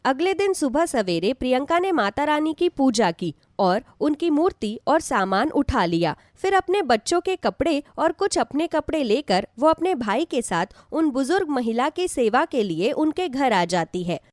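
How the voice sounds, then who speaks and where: A woman's voice: high voice, very animated, normal speed, hardly any pauses, dry recording, clean studio sound. A woman narrating a scripted devotional story as a storyteller.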